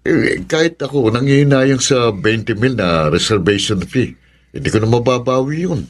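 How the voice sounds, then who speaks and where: An actor with a Filipino accent and husky voice playing a sober, resigned man in a radio drama. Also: mid-range voice, lively intonation, moderate pace, natural voice. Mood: disgruntled.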